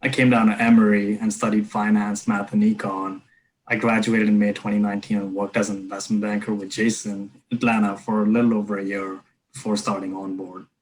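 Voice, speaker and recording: A young man from India speaks in a low, fairly flat voice as a startup co-founder answering questions. He sounds earnest and measured, dropping "you know" as he goes, with faint background noise. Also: poor audio. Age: 20s. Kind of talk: panel interview answers.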